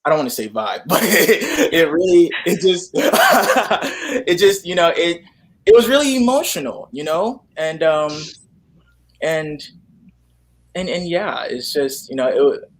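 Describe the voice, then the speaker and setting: A man's voice: high-pitched, big pitch swings. A young man chatting casually as a guest, often repeating himself and searching for words. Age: young adult. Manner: halting, repetitive, searching for words. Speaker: guest, actor in a student radio play. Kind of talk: casual interview chat.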